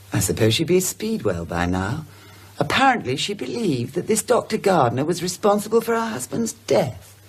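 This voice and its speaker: An inquisitive British wife in a radio drama, with a slightly husky voice. She muses and then lands firmly on her words, her pitch swinging widely. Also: exaggerated voice. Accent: British English. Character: inquisitive, decisive married woman piecing together memories.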